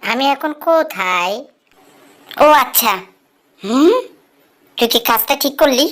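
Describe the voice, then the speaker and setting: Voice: sits high, lively intonation, takes it slowly, fairly bright tone, heavily acted. A performer with a woman-like voice plays a stern, sensible elder mouse uncle in a cartoon dub, admonishing and giving advice. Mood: scolding. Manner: admonishing, instructive.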